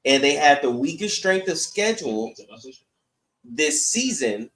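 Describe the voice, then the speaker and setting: A man's voice: fairly high pitch, very animated, measured pace, a bit bright. A man giving casual sports commentary as a podcast host, blunt and opinionated. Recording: echoey room sound.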